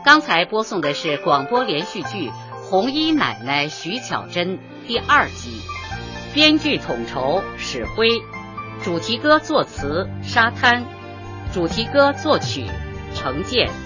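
Woman, radio announcer, formal credits reading, unhurried, animated intonation, lo-fi sound, clear music behind. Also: medium pitch. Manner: formal, measured enunciation. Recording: dull, lo-fi audio over music.

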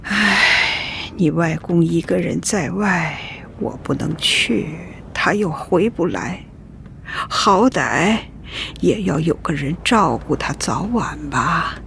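An actress playing a sorrowful, forgiving old grandmother in a radio drama, gravelly and resigned, sighing as she recalls things. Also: on the low side, expressive, slow, a bit bright, slight background noise, exaggerated voice. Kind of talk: reflective radio drama acting.

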